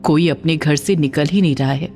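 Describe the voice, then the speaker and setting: A woman narrating a scripted dramatic story in an expressive, emphatic way. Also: sits low, moderate pace, faint music behind.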